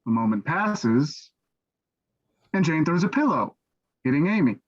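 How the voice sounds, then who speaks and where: A man's voice: a little high, sing-song, unhurried. A man reading scripted stage directions as the narrator of a staged play reading, on a lo-fi recording.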